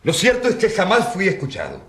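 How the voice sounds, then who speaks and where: An actor playing a passionate rural priest who defends himself in court with earnest, indignant rhetoric in a radio drama. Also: male voice, high-pitched, wildly swooping pitch, brisk, a bit bright, roomy sound, exaggerated voice. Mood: indignant, pleading.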